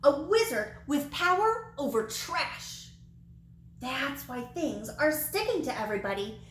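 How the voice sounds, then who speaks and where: A woman voicing an excitable young townswoman in a storybook, exclaiming loudly and emphatically with drawn-out words. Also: sits high, voice pushed up, full character voice. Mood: astonished, alarmed. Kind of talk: storybook character voice. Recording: roomy, echoey sound.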